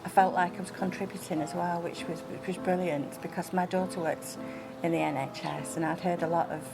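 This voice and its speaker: A mature woman with a slightly low voice talks informally about her own experience. Music plays clearly behind her. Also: steady tone, brisk, low-quality recording. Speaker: volunteer being interviewed. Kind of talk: informal personal reflection.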